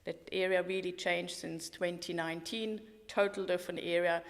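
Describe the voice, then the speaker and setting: A woman's voice: lowish voice, steady tone, normal speed. A middle-aged woman from Switzerland with a Swiss accent, a walking tour guide talking in a podcast interview in an enthusiastic, matter-of-fact way. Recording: roomy hall-like reverb.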